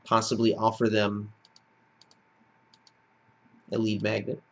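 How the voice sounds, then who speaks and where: Low-pitched man narrating a software tutorial. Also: completely flat delivery, slow, highs cut off. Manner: instructional, plain-spoken, explanatory. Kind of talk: step-by-step screencast tutorial narration.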